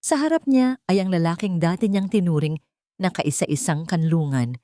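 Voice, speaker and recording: Low-pitched Filipino woman narrating drama. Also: expressive, normal speed, dark tone, no room sound. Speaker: story narrator. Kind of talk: dramatic scripted Tagalog audio story narration.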